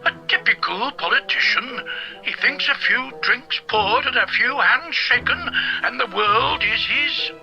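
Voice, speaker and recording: A sharp, very bright telephone voice: a self-important doctors' leader in a radio drama. He speaks high and slowly, wary and disdainful, with faint music behind the thin line. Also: gravelly, dull audio, exaggerated voice. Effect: telephone filter. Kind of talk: British radio drama acting.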